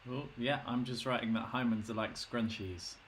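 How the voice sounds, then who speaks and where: A man's voice: medium pitch, fairly even intonation, normal speed, a bit bright, a little hiss or hum. Hesitant man relaying chat. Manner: hesitant, repeats words, relays chat comments. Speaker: host and chat moderator. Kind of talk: live stream hosting.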